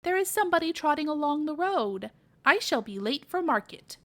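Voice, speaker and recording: Woman playing a squeaky, cheerful little boy pig. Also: big pitch swings, moderate pace, fairly bright tone. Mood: innocent, chatty. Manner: squealing exclamations, childlike phrasing. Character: cheerful, innocent young male pig squealing "wee wee".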